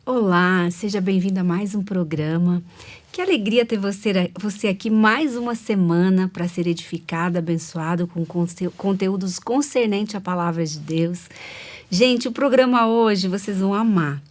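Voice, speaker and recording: A woman's voice: medium pitch, moderate pace. A woman hosting a faith-based women's talk show, with a voice in the middle range. She welcomes her guests and her viewers and keeps the talk moving with frequent agreeing murmurs. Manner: warm, affirming, frequent "uhum" backchannels.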